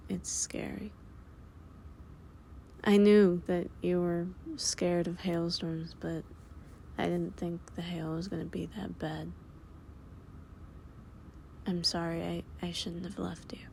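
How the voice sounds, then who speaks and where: A woman voicing a gentle young man who softly soothes his frightened partner in a hushed, whispery voice, as in a comfort audio roleplay. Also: on the low side, creaky, slight background noise. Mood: tender.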